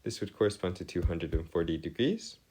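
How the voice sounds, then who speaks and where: A man with a deep voice tutors in an explanatory, partly improvised style. His pitch swings widely as he works through each point. He is formal and wordy, often hesitating and rephrasing himself. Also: lively intonation, brisk, a little mellow. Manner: hesitant, self-correcting, formal wordy phrasing. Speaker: math tutor. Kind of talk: semi-improvised explanatory lesson.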